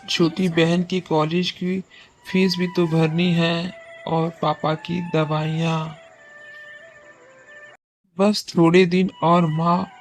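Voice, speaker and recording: A man's voice: fairly high pitch, a little mellow, rough recording. A man voicing a dutiful, anxious young delivery rider in a cartoon horror story.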